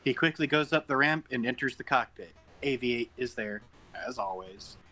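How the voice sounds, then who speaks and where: Bright-voiced man narrating stage directions. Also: medium pitch, expressive, normal speed. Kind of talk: reading screenplay stage directions at table read.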